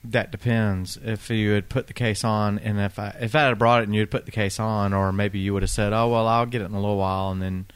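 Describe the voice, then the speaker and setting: A man's voice: fairly low pitch, little pitch movement, fast talker, few pauses, clean tone, no echo. A man chatting casually and bantering with friends as a podcast co-host.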